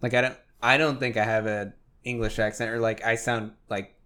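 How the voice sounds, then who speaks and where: A young man in his twenties from Mexico, hosting a relaxed podcast chat. He talks loosely, leans on fillers, and swears casually. His voice sits at an ordinary middle pitch for a man. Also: a little fast, smooth. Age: 20s. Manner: loose and conversational, many "like" fillers, swears freely.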